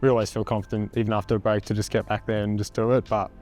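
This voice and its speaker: Man, young adult, Australian accent, raspy, laid-back, hesitant, low voice. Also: little pitch movement, very fast, a little mellow, faint music behind. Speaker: band frontman. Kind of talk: casual interview answers.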